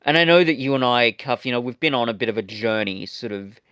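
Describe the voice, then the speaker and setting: A man co-hosting a review podcast in casual, opinionated chat, feeling his way through sentences haltingly. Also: medium pitch, lively intonation, a bit bright, smooth, dry recording, studio quality. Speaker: podcast co-host reviewing audio dramas.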